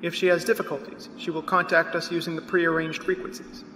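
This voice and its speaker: Guy doing a literal unemotional android crewman, audio drama, talks fast and formal, engine hum behind. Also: a little high, little pitch movement, lightly acted. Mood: matter-of-fact. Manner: formal, precise, matter-of-fact. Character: literal-minded, unemotional male artificial crewman. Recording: echoey reverberant spaceship ambience noise.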